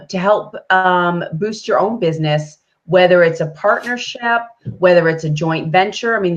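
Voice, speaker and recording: A woman co-hosting a casual live chat, her voice husky as she gets over a chesty cough. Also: fairly low pitch. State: recovering from bronchitis with a cough. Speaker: co-host of a business live show. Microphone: live video call. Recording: muffled, lo-fi video stream.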